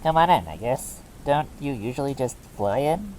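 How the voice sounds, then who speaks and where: A man's voice: mid-range voice, expressive, moderate pace, a little mellow, pitched above the performer's own voice, natural voice, low-quality recording. A man as a small henchman creature, casual, cheeky, bouncy intonation, unhelpful dude tone, storybook reading, rain noise behind. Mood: nonchalant, teasing. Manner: breezy, nonchalant, dude-like. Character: laid-back cheeky male minion creature, casually unhelpful.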